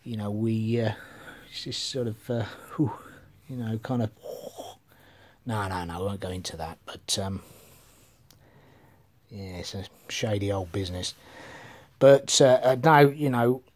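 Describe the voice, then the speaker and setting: A man's voice: on the low side, a little slow, pauses often. A middle-aged man rambling casually to his phone camera in a living room, swearing freely and trailing off. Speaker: vlogger. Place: living room with bookshelves, indoors. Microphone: phone camera held at arm's length.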